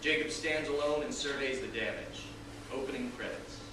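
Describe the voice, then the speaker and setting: A man's voice: medium pitch, normal speed. A man reading scripted scene directions as narrator, recorded at a distance in a very echoey, noisy room.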